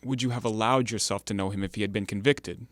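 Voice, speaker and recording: An actor playing an uneasy, defensive ex-convict in an audio play, speaking formally as if forever excusing himself. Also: male voice, lowish voice, steady tone, fast, no echo, clean studio sound, natural voice. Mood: probing. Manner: formal, elaborately self-excusing. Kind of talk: stage-play dialogue in an audio drama.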